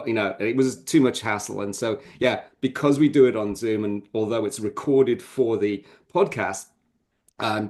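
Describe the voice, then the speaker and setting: A man's voice: lowish voice, a little mellow. A man chatting casually as a podcast guest, rambling a little, with a hesitant, self-deprecating manner.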